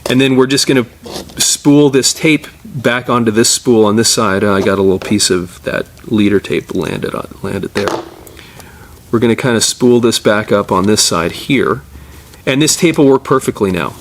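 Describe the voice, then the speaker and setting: A man's voice: fairly low pitch, moderate pace, slight background noise. A middle-aged man presenting a casual hands-on tutorial as a repair technician, explaining informally step by step while he works.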